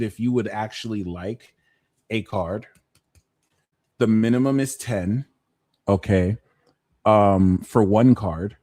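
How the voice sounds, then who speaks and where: A man's voice: fairly low pitch, warm tone, no echo. Slangy, joking male tarot host, dry close sound. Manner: playful, slangy, jokes between readings. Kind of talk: live pick-a-card tarot reading.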